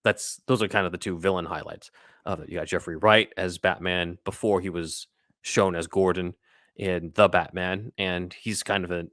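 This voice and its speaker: A man's voice: low-pitched, steady tone, normal speed, fairly bright tone, no echo, studio quality. A man co-hosting a podcast, chatting casually and enthusiastically in a rambling, fan-like way about pop culture. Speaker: podcast co-host.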